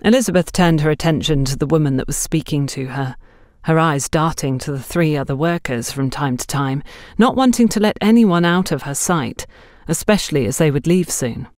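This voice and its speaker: A woman's voice: low-pitched, moderate pace, darkish tone, no echo, clean studio sound. A woman narrating a scripted mystery story as an audiobook reader.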